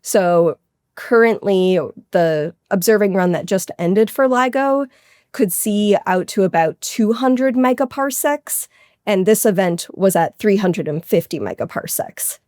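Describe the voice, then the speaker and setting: A woman's voice: mid-range voice, animated, a little slow, a little mellow, no room sound, clean studio sound. A woman scientist explaining her research informally and with enthusiasm in a conversational interview. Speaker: guest scientist, astrophysics researcher.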